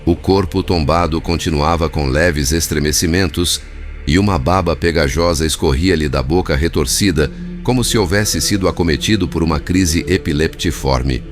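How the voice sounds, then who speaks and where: A man reading scripted audiobook narration in a formal, measured way. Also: rumbling low. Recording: music bed behind narration.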